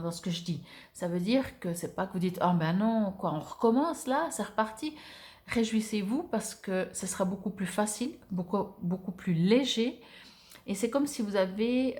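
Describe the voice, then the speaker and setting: A woman's voice: medium pitch, a little mellow. A woman giving an informal spiritual card reading to viewers, speaking conversationally and hesitantly as she searches for words. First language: French. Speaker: oracle card reader.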